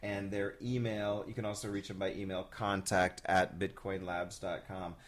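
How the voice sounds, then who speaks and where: A man's voice: low-pitched, flat delivery, normal speed. A man hosting a casual live video chat, talking loosely with many fillers and frequent laughs. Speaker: show host.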